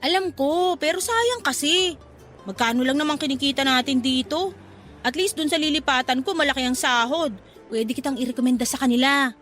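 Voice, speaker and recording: Woman acting a sassy, high-voiced shopgirl gossip. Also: a little fast, fairly bright tone, soft background music. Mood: persuasive. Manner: slangy, sassy. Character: gossipy, pragmatic young female shop co-worker. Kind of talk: radio drama acting.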